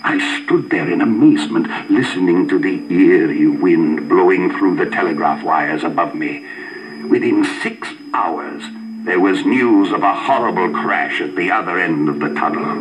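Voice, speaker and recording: A man's voice: deep voice, unhurried, noisy background, voice pushed down. A man voicing a haunted, fearful railroad signalman in a dramatic, foreboding way on a story record. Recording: vinyl record with music.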